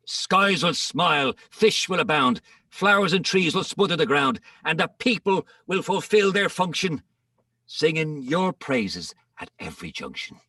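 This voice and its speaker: A man's voice: a little high, big pitch swings, measured pace, pushed and bright, no echo, heavily acted. A man playing a boastful old man pleading before a court, in a declamatory dramatic reading of comic verse, recorded dry and slightly distant. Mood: grandly pleading. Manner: declamatory, rhythmic, emphatic.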